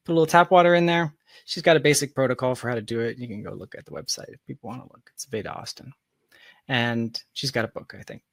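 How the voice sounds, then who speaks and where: Male podcast guest, animated casual storyteller, medium pitch, dry close-miked online recording. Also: quick pace, gravelly, dry recording. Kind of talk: casual, anecdotal conversation.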